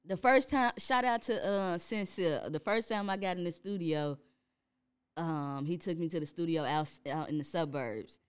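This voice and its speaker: A young woman with a Chicago accent, a rapper talking casually in an interview, relaxed and laughing often. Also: on the low side, normal speed, smooth. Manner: laid-back, laughs often, many fillers. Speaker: rapper guest.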